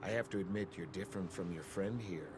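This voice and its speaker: An actor playing a smug, taunting trickster in a video game, speaking with theatrical, mocking emphasis. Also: male voice, low-pitched, fast talker, music in the background, natural voice. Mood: amused, taunting.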